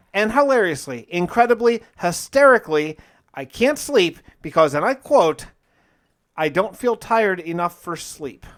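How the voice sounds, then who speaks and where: A man with a fairly high-pitched voice narrates a survival game as an in-character diary. He talks conversationally, musing aloud and putting questions to himself as he reasons things through. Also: a bit bright. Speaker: gaming video narrator and player.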